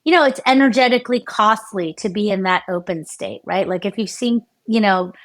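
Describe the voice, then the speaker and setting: Casting: American woman researcher, middle-aged, lively and chatty, frequent fillers and self-corrections. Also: mid-range voice, brisk. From United States. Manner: animated, many fillers and restarts, laughs often. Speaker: neuroscientist guest. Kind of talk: conversational interview explanation.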